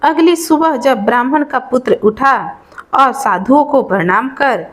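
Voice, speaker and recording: Slow, high-voiced woman storyteller. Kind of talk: narrated Hindi devotional folk tale, informal oral storytelling.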